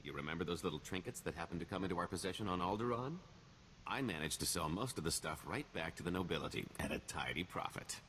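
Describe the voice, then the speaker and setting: An actor playing a smooth-talking, self-assured con man in a video game, with wry, wheedling salesman patter. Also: low-pitched, lively intonation, quick pace, fairly bright tone, a little hiss or hum. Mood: smug, wry. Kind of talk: video game dialogue acting.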